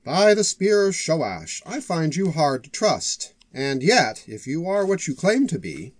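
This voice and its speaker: A man's voice: a little high, sing-song, a little slow, no room sound. Bookish male archivist, storybook fantasy, read by a male narrator. Earnest, florid, formal, with varied intonation. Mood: breathless wonder. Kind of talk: audiobook character voice.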